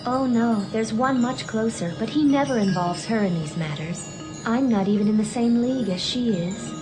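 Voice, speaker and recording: A woman's voice: a little high, normal speed, close to an everyday voice, rough recording. A woman playing a cool, teasing, mysterious young woman in an anime audio drama, dry and detached in delivery. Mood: aloof. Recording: music behind the voice.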